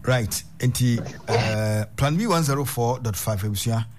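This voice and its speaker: A man with a Ghanaian accent presenting a radio talk show, slowly reading out phone numbers and switching between languages. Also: mid-range voice, darkish tone, a little hiss or hum. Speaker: radio host.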